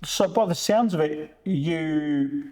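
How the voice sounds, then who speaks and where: A man's voice: on the high side, slow, darkish tone, poor audio. A man hosting a casual interview, with frequent affirmations and hesitant, searching questions. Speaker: podcast host.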